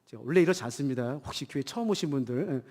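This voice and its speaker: A man preaching a church sermon as pastor with a cold, emphatic, swinging from loud declarations to hushed asides and putting questions to the congregation. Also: mid-range voice, animated, fast. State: has a cold. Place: church hall during a service. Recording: long hall reverb in church.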